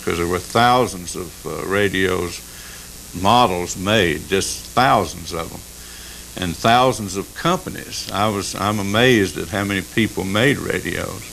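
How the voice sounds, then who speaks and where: A man talking casually in an interview as a collector, haltingly rephrasing as he goes. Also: low-pitched, gravelly, a bit bright, rough recording. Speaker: radio collector being interviewed. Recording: faint background hiss.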